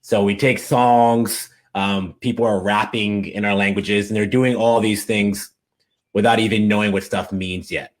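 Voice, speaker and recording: A man's voice: fairly low pitch, unhurried. A man being interviewed as a guest, telling stories in a casual, enthusiastic way. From United States. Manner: animated, enthusiastic storyteller. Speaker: interview guest, language coach.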